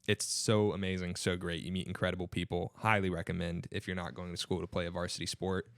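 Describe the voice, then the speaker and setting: A young man with a fairly low voice talks casually among friends as a podcast co-host. The sound is dry and close, with no room reflections. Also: level tone, fast, vocal fry, a bit bright, clear, resonant tone, dry recording. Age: young adult. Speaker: podcast co-host and college club tennis player.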